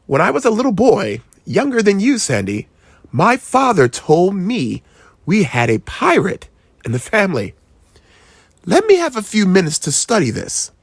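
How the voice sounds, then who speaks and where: A storyteller as a soft-spoken father. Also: mid-range voice, huge pitch swings, moderate pace, breathy edge, no echo, studio quality, voice pushed up, exaggerated voice. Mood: eager. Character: calm, thoughtful father explaining family history. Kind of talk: children's storybook read-aloud.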